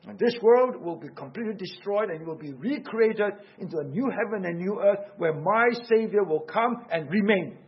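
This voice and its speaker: Husky high-voiced Singaporean preacher. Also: male voice, expressive, normal speed, hardly any pauses, muffled recording. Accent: Singaporean English. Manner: earnest, urgent preaching with rhetorical questions. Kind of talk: sermon.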